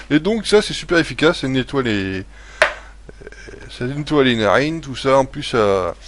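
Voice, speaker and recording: Casual male vlogger, mid-pitched voice, rambling and blunt with frequent filler sounds and chuckles. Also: lively intonation, a little slow, background noise. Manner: rambling, hesitant, many fillers and restarts; chuckles often. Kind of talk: casual home-remedy vlog.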